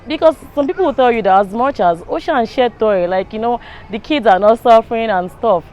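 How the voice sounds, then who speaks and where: A woman's voice: a little high, fairly quick, a little mellow, poor audio. A young woman with a Nigerian accent chatting casually in a street interview. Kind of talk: casual chat. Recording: faint outdoor traffic noise.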